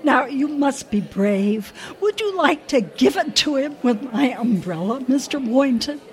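A woman's voice: sits high, lively intonation, measured pace. A woman playing a dotty, well-meaning older lady with an earnest manner in a radio comedy. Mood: coaxing. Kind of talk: old-time radio comedy acting.

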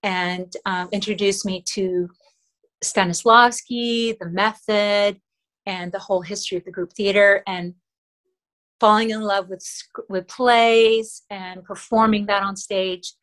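A middle-aged woman from the Philippines whose first language is Tagalog, talking earnestly as an interview guest with fillers and soft laughs. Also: mid-range voice, steady tone, unhurried. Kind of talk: casual interview talk.

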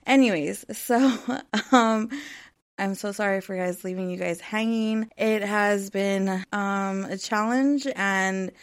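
A woman's voice: mid-range voice, takes it slowly, clean tone. A woman in her thirties hosting her own podcast, chatting casually and rambling, with lots of "um" and "like.". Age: 30s. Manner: rambling, heavy with "um" and "like". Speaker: podcast host. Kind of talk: casual solo podcast chat.

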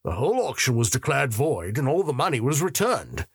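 A man voicing a smarmy, pompous middle-aged mayor who speaks slowly and deliberately. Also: mid-range voice, very animated, breathy edge, dry recording, studio quality, pitched above the performer's own voice.

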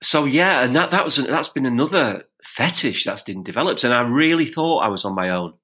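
A man speaks as a podcast guest at a middling pitch. He talks in a halting, hesitant way and often stops and restarts his sentences. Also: lively intonation, bright, forceful tone. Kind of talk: casual conversational interview.

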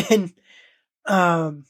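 A woman co-hosting a podcast, chatting casually and haltingly as she searches for her words. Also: lowish voice, a bit bright, breathy edge. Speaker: podcast co-host. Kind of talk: casual chat recapping an anime parody.

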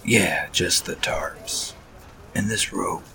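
An actor playing a tall, thin serial killer who passes as a polite shopper, hushed and breathy, with hesitant, folksy small talk in an audio play. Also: male voice, sits low, slow pace, a little hiss or hum, full character voice. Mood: casual, polite. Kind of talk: audio drama acting.

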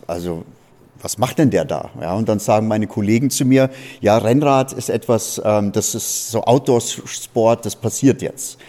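Panelist, male, low and mellow, rambling with fillers, handheld mic in a reverberant hall. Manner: rambling, fills pauses with "ja" and "letztendlich". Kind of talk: panel discussion answer.

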